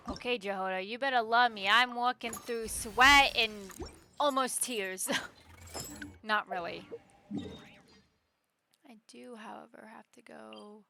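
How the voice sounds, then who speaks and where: A woman's voice: on the high side, slow, creaky, long pauses, pushed and bright, a little hiss or hum. A woman streaming a video game, chatting casually to her viewers with game music behind her. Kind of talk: casual livestream chat while playing a game.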